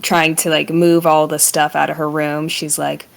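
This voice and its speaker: Creaky, lowish-voiced woman chatting casually. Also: level tone, normal speed. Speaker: podcast panelist. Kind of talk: casual fan chat. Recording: music playing behind voice. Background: music.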